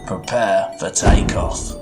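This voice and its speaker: A whimsical goblin tinkerer, played by a man, leads a fantasy bedtime tale like a folksy tour guide. The delivery is slow and playful, chatting straight to the listener as a travelling companion. Music plays clearly behind the voice. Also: close to an everyday voice. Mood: hushed wonder. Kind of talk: bedtime fantasy storytelling, in character.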